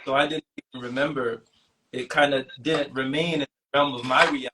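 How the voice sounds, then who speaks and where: A man in casual, reflective conversation, speaking appreciatively in halting, broken phrases. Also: medium pitch, fairly even intonation, sharp tone, low-quality recording.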